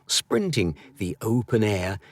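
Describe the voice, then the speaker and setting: A man narrating a children's story expressively, with dramatic, drawn-out emphasis. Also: fairly low pitch, sing-song, no room sound. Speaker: audiobook narrator.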